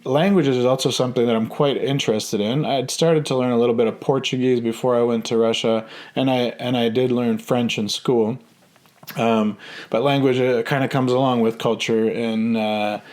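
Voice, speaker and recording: A man in his thirties telling a personal story in a relaxed, hesitant way, full of ums and restarts. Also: medium pitch. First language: English. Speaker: vlogger.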